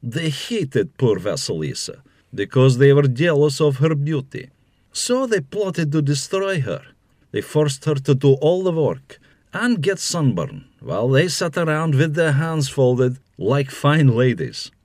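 Animated, wry male storyteller, dry studio sound. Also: fairly high pitch, unhurried, dry recording. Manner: wry asides. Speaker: storyteller narrator, host. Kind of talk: scripted fairy tale narration for children.

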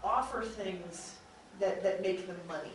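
A woman's voice: medium pitch, a little slow. A woman speaking informally as an audio drama producer on a panel, chatty and rambling, often trailing off. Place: convention panel room, indoors. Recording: echoey room, distant mic, faint noise.

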